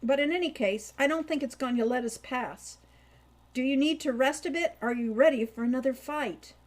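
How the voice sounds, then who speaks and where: A woman voicing a bold, impatient sixteen-year-old boy in an audiobook, with a firm, brisk delivery. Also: sits high, pitched above the performer's own voice, natural voice. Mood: brisk, resolute. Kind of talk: audiobook character voice.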